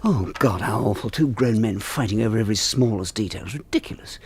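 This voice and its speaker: An English actor playing a bewildered, petulant husband in a radio drama, spluttering and aggrieved. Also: male voice, on the low side, quick pace, background noise, close to an everyday voice. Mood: sulky, aggrieved.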